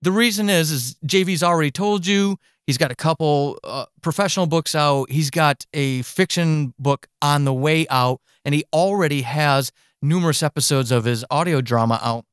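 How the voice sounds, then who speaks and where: A man's voice: on the high side, moderate pace, hardly any pauses, clean tone, no room sound, clean studio sound. A man hosting a casual podcast interview, speaking directly to listeners in an encouraging way. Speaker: podcast host and fiction author.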